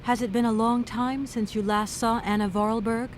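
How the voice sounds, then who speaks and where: A woman's voice: fairly high pitch, steady tone, moderate pace, a little hiss or hum, close to an everyday voice. An actress voicing a polite, inquisitive adventure game heroine, courteous and questioning in her video game dialogue. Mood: courteous, matter-of-fact.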